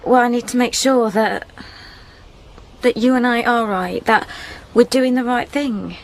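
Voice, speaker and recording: An actress playing a grieving young widow in a radio drama, earnest and hesitant. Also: female voice, a little high, measured pace, a little hiss or hum. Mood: hesitant, pleading. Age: young adult. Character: grieving young widow torn by new love, withdrawn. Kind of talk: naturalistic radio drama acting and first-person narration.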